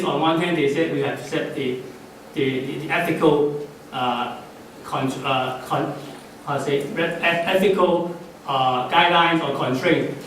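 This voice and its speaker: A middle-aged man whose first language is Cantonese, giving halting, unscripted closing remarks at a conference, with many fillers and restarts. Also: on the high side, fairly bright tone. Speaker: conference speaker. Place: conference hall. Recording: echoey hall, far from the mic, faint noise.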